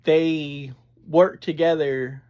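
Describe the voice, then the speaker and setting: A reviewer, chatting casually in a halting, digressive way as host of a review. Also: medium pitch, fairly even intonation, darkish tone. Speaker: audio drama reviewer, host. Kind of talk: casual rambling review chat.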